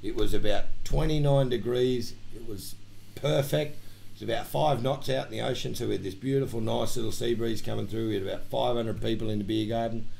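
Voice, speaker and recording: A man's voice: medium pitch, fairly quick, creaky, dark tone, slight background noise, low-quality recording. An Australian man co-hosting a live country music show, chatting in a rambling, enthusiastic way while a camera films the show. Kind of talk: casual live chat.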